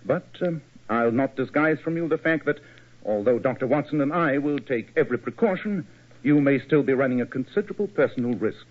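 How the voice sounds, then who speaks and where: An actor playing a shrewd, courteous English gentleman detective with a British accent in old-time radio style. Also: medium pitch, expressive, fairly quick, lightly acted. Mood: brisk, persuasive. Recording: tinny old radio recording.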